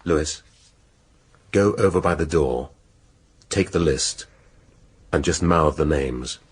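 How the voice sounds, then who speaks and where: An actor playing a brusque, middle-aged English senior police detective with an impatient, wry manner in a radio drama. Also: male voice, extremely low pitch, slow pace, slightly rough, heavily acted. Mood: impatient, commanding. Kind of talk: British radio drama acting.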